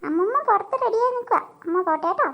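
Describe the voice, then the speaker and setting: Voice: very high-pitched, lively intonation, racing, gravelly, dull audio, full character voice. A performer voicing a sweet-talking, secretive mother in a cartoon comedy, coaxing and reassuring.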